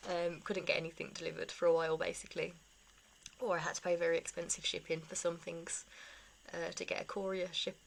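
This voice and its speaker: Young female vlogger, casual rambling delivery with self-deprecating asides, mid pitch, creaky edge. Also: slight background noise, rough recording. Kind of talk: casual life-update chat to camera.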